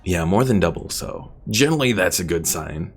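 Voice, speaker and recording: A man's voice: low voice, animated, moderate pace, soft background music. A man giving casual live commentary while streaming a game.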